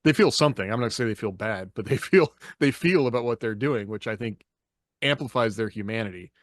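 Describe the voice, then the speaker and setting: Guy podcast host, lowish voice, dry close sound, rambles casually and leans on his points. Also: lively intonation, fairly quick, dry recording. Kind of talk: casual opinionated discussion.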